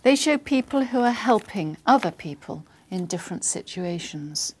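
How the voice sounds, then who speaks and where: Woman, exam interlocutor, formal, measured, scripted questions. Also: medium pitch, lively intonation, a little mellow, husky.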